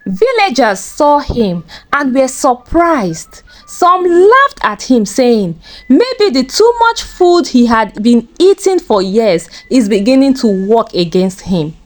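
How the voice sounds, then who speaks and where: A woman narrating a scripted folktale in a dramatic, expressive storytelling manner. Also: sits high, takes it slowly, hardly any pauses.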